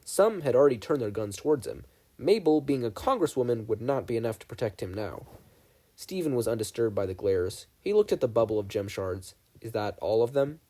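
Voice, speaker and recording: Man, story narrator, mid-pitched, mellow tone, animated intonation, scripted fan fiction reading. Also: fairly quick. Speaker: podfic reader.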